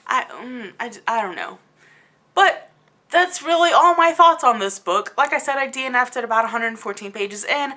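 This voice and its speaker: A woman's voice: a little high, expressive, normal speed, bright, forceful tone. A woman giving a casual, rambling video review in an exasperated, emphatic and incredulous manner. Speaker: book review vlogger.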